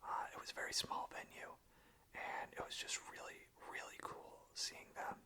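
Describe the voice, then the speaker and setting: An ASMR host speaking in a breathy, unvoiced whisper, gentle and enthusiastic in manner. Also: normal speed. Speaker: ASMR host chatting about and reading song lyrics.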